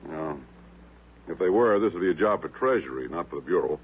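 A tinny, narrow old radio recording of a bureau chief. His voice is lowish and somewhat husky, gruff and authoritative, in classic 1950s radio drama style. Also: expressive, brisk. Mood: firm. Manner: exclaiming, authoritative.

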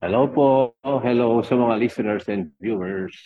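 A middle-aged man with a Filipino accent, a podcast guest talking casually in halting, piecemeal phrases over a tinny connection. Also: mid-range voice, a crowd in the background. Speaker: podcast guest, fitness coach. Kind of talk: casual conversational storytelling in Taglish.

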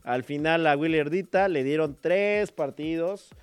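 A man co-hosting a football talk show, opinionated and animated. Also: fairly high pitch, unhurried, clear, resonant tone, no room sound. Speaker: sports show co-host. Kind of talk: casual sports talk.

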